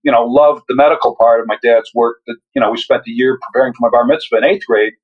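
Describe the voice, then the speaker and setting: A man's voice: mid-range voice, fairly even intonation, fast talker, slightly rough, phone-quality sound. An older man, the guest author, talking in a reflective, rambling way with frequent restarts. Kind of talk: casual interview conversation.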